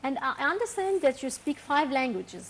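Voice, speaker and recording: A woman interviewing a singer, asking halting questions and often murmuring agreement. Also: high voice, very animated, a little hiss or hum.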